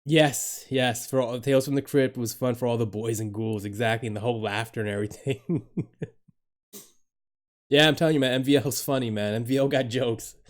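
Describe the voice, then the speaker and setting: A man's voice: mid-range voice, fairly even intonation, a little fast. Male streamer, casual, loose and swears easily, laughs a lot while chatting with viewers on a home desk mic. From Dominican Republic. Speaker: live streamer.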